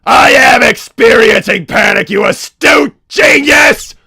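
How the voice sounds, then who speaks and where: Shouty, gravelly mad-scientist villain. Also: male voice, very high-pitched, slow pace, dry recording, clean studio sound, exaggerated voice. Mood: frantic. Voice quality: gravelly, raspy. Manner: theatrical, exasperated, rambling. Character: flamboyant, excitable male mad-scientist villain hosting a debate. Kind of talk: improvised in-character livestream hosting.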